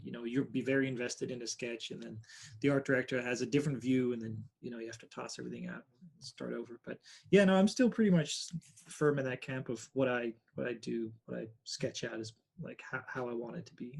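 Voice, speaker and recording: A man with a Dutch accent answering interview questions thoughtfully over a video call, often trailing off quieter. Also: mid-range voice, vocal fry, husky. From Netherlands. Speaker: guest artist.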